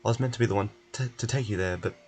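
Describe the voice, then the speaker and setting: A man's voice: lowish voice, racing, a little mellow, lightly acted. A man voicing a fussy, wordy, coaxing male bureaucrat in a video game. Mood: approving, fussy. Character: fussy male bureaucratic clerk, pleased and pushy, nursing hurt leg. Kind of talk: video game character voice-over. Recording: music behind.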